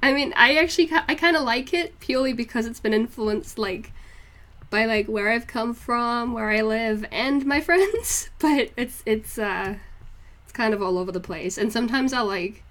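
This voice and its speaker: A woman's voice: fairly high pitch, normal speed, fairly bright tone. A young woman with a mixed northeast English and New Zealand accent, chatting casually and ramblingly to viewers on a livestream, with a giggly, informal manner. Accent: hybrid northeast English and New Zealand. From northeast England, moved to New Zealand as a child. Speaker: art livestreamer.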